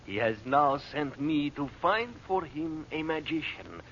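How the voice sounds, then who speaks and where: Loyal Bedouin ally, animated and formal. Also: male voice, a little high, a little slow. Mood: eager, respectful. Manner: solemn, deferential, formal ornate phrasing. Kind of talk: old-time radio adventure acting. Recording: dull, noisy old radio recording.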